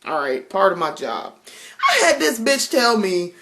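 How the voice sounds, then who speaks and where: A woman's voice: mid-range voice, wildly swooping pitch, a little slow, pushed and bright, slight background noise. Southern girl w a drawl talking while she fixes dinner, lots of ums n laughs, cusses a lot. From South Carolina. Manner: chatty, laughs often, swears freely, lots of ums. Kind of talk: casual vlog chat while cooking. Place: home kitchen.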